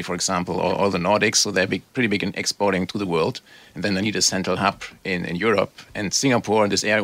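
A man with a German accent, a marketing executive explaining things in a measured way as an interview guest. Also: fairly low pitch, a bit bright, no echo. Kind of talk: casual interview chat.

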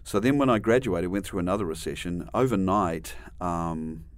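A man's voice: low voice, moderate pace, dry recording. A middle-aged man from New Zealand with a New Zealand accent, a guest telling stories in a rambling way, with many restarts and dry humor. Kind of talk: conversational interview storytelling.

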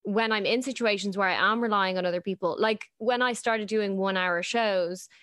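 A woman's voice: medium pitch, fairly bright tone, no echo. 20s woman comic hosting a podcast, dry close mic, easygoing and chatty. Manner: friendly, quick to agree and relate. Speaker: podcast host and stand-up comedian. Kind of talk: casual podcast chat.

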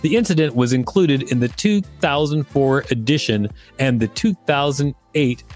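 A man co-hosting a podcast, reading a long article aloud in an even, mid-pitched voice. He breaks off now and then for dry joking asides. Faint music sits behind him. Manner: dry, joking asides between read passages. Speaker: podcast co-host.

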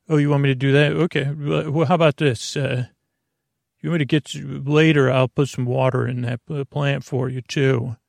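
Male sleep-podcast host: gravelly fry, close dry sound, gentle, reassuring, unscripted and wandering. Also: on the high side, no room sound. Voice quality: creaky, gravelly. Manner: meandering, halting, trails off into tangents and self-corrections. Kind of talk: rambling, unscripted bedtime podcast monologue.

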